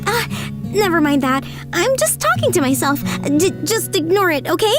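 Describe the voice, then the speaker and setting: A woman voicing a sweet, lonely rich high school girl in a manga dub, gentle and girlish. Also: sing-song, normal speed. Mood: flustered. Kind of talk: anime-style manga dub acting. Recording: music behind the voice.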